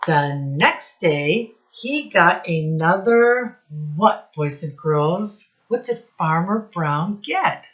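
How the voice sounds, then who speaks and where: A woman with a slightly low voice reads a picture book to small children at a very unhurried pace. She speaks like an encouraging teacher, addressing the children directly and leaning on key words. The recording is dull and lo-fi. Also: animated, echoey room. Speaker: teacher reading a storybook aloud. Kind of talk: children's read-aloud with questions to young listeners.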